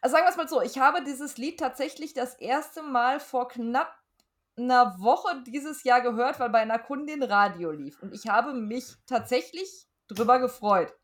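A woman's voice: on the high side, normal speed. A woman co-hosting a podcast, chatting informally and wryly. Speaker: podcast co-host. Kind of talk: casual chat, podcast sign-off.

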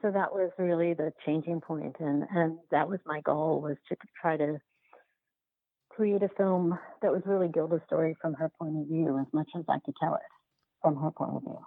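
A woman answering interview questions as a filmmaker, in a halting, conversational way with repeated words. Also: deep voice, steady tone, vocal fry, breathy edge, no room sound, narrow, tinny audio. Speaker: documentary filmmaker, podcast guest.

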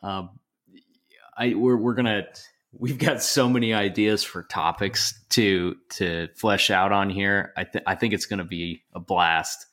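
A man's voice: on the low side, fairly even intonation, measured pace, fairly bright tone. A young man co-hosting a casual podcast, wry and joking, with easy laughter. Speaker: podcast co-host. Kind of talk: casual chat.

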